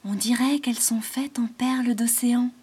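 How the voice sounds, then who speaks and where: A woman's voice: moderate pace, slightly rough. A woman doing a vain, chirpy little beetle. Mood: admiring. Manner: high, excited and gushing.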